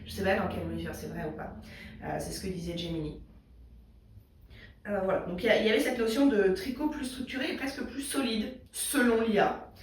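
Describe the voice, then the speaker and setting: A woman's voice: mid-range voice, fairly quick, slight background noise. A woman hosting a knitting video in a casual, rambling chat, with a slightly congested throat and lots of fillers. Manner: rambling, many fillers and restarts. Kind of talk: casual chat thinking aloud. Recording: echoey room, mic far away.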